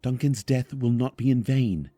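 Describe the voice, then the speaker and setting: An actor playing a solemn, courteous trapped spirit in a fantasy audio drama, speaking in a measured, formal way. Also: male voice, mid-range voice, dark tone, soft background music, lightly acted. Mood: urgent, firm.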